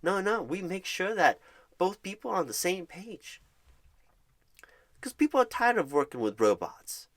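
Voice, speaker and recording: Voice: mid-range voice, sing-song, moderate pace, long pauses. Reassuring ASMR job recruiter, pet names. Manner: reassuring, wordy, uses pet names like darling and honey. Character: happy, eager, encouraging job recruiter and career coach. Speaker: ASMR performer playing a job recruiter and career coach. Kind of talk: ASMR roleplay, one side of a phone screening.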